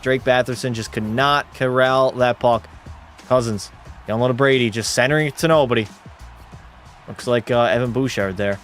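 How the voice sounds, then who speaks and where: A male streamer giving casual play-by-play of a hockey game and answering his chat in a relaxed way. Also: mid-range voice. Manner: relaxed, drops into terse hockey call mode.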